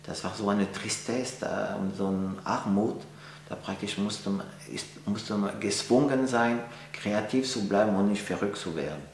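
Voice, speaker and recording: A man's voice: lowish voice, moderate pace, vocal fry. A man in his forties from France, speaking German with a French accent, answering interview questions in a gallery with many fillers and restarts. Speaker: interviewee, painter. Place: art gallery, indoors. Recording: echoey room, faint hum.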